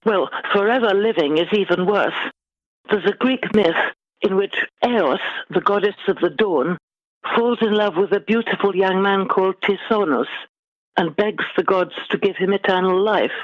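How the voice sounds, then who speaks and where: A middle-aged woman guest author speaking in measured, formal, articulate phrases in a literary interview. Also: medium pitch, no echo. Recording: dull, lo-fi band-limited sound.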